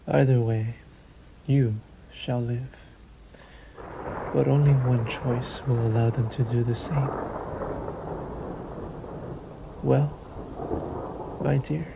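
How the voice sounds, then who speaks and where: A man's voice: mid-range voice, vocal fry, noisy background. A man playing a centuries-old vampire in a roleplay audio drama, sadistic and possessive, with formal, silky menace and condescending endearments. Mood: commanding.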